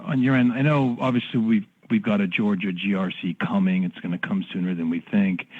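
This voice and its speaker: A man working as a financial analyst, asking questions in a casual, informal way with tentative phrasing. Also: fairly low pitch. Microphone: phone. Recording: muffled narrow-band phone line.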